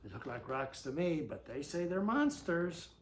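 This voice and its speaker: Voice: mid-range voice, very animated, moderate pace, reverberant. A storyteller reading a picture book aloud to children, breaking off for folksy, conversational asides. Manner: folksy, conversational commentary between read lines.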